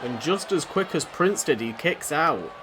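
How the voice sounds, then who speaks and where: A man doing excitable, rambling play-by-play commentary over a wrestling video game, his voice going hoarse. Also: fairly high pitch, measured pace. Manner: excitable, rambling, self-deprecating. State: losing his voice. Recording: noisy background.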